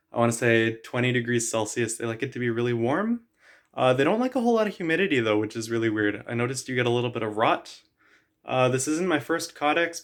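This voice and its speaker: Casting: slightly hoarse, eager man showing off a plant to camera, mid-pitched, many fillers. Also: fairly bright tone. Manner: chatty, enthusiastic, frequent "uh" fillers. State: losing his voice. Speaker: plant vlogger. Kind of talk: casual show-and-tell.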